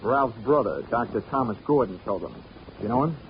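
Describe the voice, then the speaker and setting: An actor playing a hardboiled insurance investigator with dry, sardonic wisecracks in 1940s radio detective style. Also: male voice, medium pitch, expressive, normal speed, natural voice. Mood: sardonic, probing. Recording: muffled, hissy 1940s radio recording.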